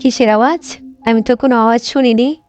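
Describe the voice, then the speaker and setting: Woman playing a high-voiced mysterious young stranger. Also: steady tone, fairly quick, a little mellow, a little hiss or hum, lightly acted. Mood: puzzled. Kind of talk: cartoon horror story dialogue. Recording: dull lo-fi sound, faint music.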